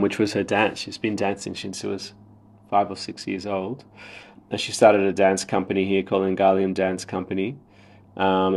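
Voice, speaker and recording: Aussie guy as interview guest, lowish voice, thoughtful, explains stuff bit by bit. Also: darkish tone. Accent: Australian. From Australia, raised in Sydney. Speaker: podcast guest, theatre writer and director. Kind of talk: reflective interview talk.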